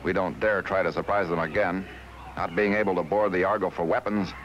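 A man's voice: low-pitched, fairly even intonation, brisk, highs cut off, slight background noise. A man playing a resolute middle-aged cartoon sea captain, commanding and plain-spoken. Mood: determined. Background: loud background music.

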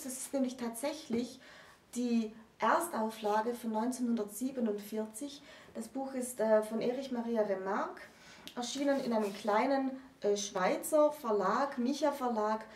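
Woman in her thirties, slightly high mellow voice, literary presenter, explanatory talk, indoor room. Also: unhurried. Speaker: co-host presenting books. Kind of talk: explanatory literary discussion to camera. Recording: roomy echo, distant microphone.

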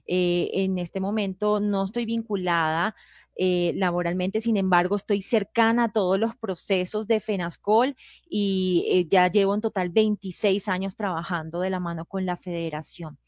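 Steady woman interpreter, dry close sound. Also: mid-range voice, moderate pace, no room sound. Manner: steady, hesitant "eh" fillers. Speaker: sign language interpreter. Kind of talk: self-introduction in a live panel talk.

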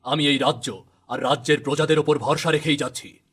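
A man voicing a grave, authoritative king and father in a storybook cartoon, with a solemn, slightly husky voice. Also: a little high, steady tone, brisk, fairly bright tone. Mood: grave, fatherly. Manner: commanding, solemn.

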